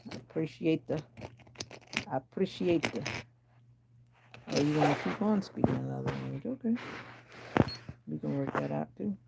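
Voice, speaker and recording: A sleepy middle-aged woman, raspy from a sinus infection, chatting casually with viewers on a phone livestream from home and teasing them by name. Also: low-pitched, normal speed, pauses often, very noisy. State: sleepy and exhausted. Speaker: livestream host. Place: at home indoors.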